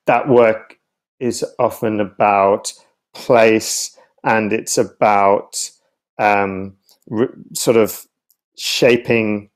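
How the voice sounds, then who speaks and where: A man's voice: on the low side, steady tone, very slow. A man in his thirties giving thoughtful interview answers on a video call, searching for words and often restarting his phrases. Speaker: guest, writer and theatre artist. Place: home room with bookshelves, indoors. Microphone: video call, wired earphones.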